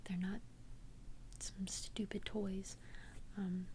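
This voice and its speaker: A woman playing an immortal young vampire in an ASMR roleplay, confiding tenderly in a breathy whisper with a hesitant, earnest manner. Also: medium pitch, flat delivery, warm tone, slight background noise. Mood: earnest, nervous. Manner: hesitant, halting, earnest confession.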